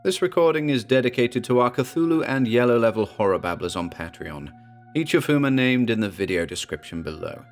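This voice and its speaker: A man's voice: mid-range voice, lively intonation, a little fast, faint music behind. A man reading a scripted introduction as host of a horror audio drama channel.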